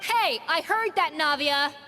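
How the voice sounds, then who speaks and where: A woman playing a brisk, stern, proud young female head chef in an anime game. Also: high-pitched, sharp tone, big-room sound, a little hiss or hum. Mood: flustered. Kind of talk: anime game character dialogue.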